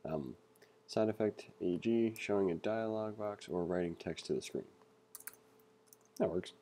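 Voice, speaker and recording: A man with a lowish, mellow voice leads a casual read-along coding lesson. He talks in a relaxed, easygoing way and thinks out loud with plenty of fillers. He sounds like he is recording a voice-over at his desk. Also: measured pace. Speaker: coding tutor.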